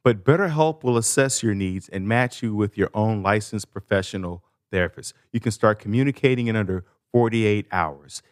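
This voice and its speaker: A man's voice: lowish voice, normal speed, few pauses, dry recording, studio quality. A man hosting a podcast, talking informally and conversationally with playful, slangy asides. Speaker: podcast host. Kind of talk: casual conversational podcast ad read and interviewing.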